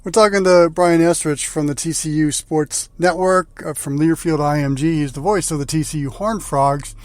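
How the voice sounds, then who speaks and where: Higher-pitched male sports show host. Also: normal speed, dry recording. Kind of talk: sports talk interview.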